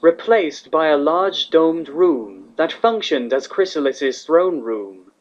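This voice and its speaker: A man's voice: on the high side, animated, slow. A man reading scripted fantasy fiction as the narrator. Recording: slightly dull, lo-fi recording.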